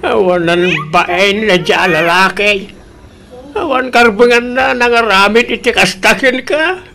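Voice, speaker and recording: A man's voice: high-pitched, measured pace, creaky, pushed and bright, exaggerated voice. A man playing an older, protective village father in a comedic radio drama, exclamatory, with an Ilocano accent. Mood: shocked, upset.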